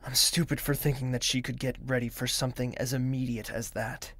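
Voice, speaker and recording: An actor playing a gentle, reserved young prince in an audio drama, courteous and measured, with formal wording and a self-doubting streak. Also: male voice, mid-range voice, flat delivery, no echo. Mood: apologetic, considerate. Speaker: character actor and first-person narrator. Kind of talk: scripted audio drama with inner monologue.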